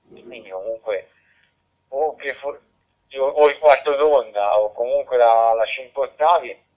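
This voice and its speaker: Male radio amateur, casual rambling delivery with repeated words, heavily band-limited two-way radio sound. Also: medium pitch. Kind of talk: casual radio contact chat. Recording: muffled narrowband digital two-way radio.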